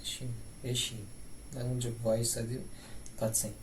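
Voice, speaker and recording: A woman's voice: very deep voice, level tone, racing, background noise. A woman giving casual gameplay commentary as she plays, chatting informally. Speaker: game streamer. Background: loud game music.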